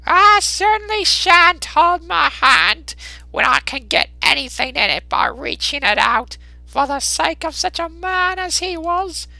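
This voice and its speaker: Storybook charwoman, played by a man: high, creaky, brazen, unashamed, scoffing at leisure. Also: animated, sharp tone, dry recording, exaggerated voice, poor audio. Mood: cool, scornful. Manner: coolly unashamed, drawn-out scoffing delivery. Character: brazen, callous working-class charwoman hawking plunder. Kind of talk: dramatised Victorian storybook reading.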